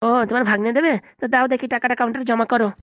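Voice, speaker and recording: A woman's voice: a little high, fairly even intonation, rapid-fire, dull audio, close to an everyday voice. A cowardly hospital swindler in a comedy cartoon played by a woman, talking at breakneck speed. The comes with evasive, pleading chatter, in a dry, echo-free sound. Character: shifty, cowardly hospital swindler, easily panicked. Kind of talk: fast cartoon comedy dialogue.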